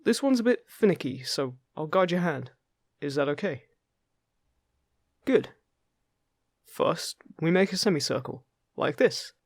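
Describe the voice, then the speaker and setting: A man playing a friendly, upbeat fairy guide in an intimate fantasy roleplay, chatty, gentle and encouraging with the listener. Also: fairly high pitch, animated, a little slow, vocal fry, long pauses, no room sound, studio quality, exaggerated voice. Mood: playful, instructive. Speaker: voice actor in an audio roleplay.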